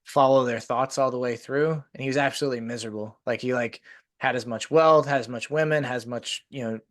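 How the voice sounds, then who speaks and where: A man's voice: mid-range voice, fairly even intonation, fairly quick, fairly bright tone. A man hosting a podcast. He chats casually and rambles through long stories, with many "like" fillers. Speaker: podcast host. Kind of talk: casual conversation.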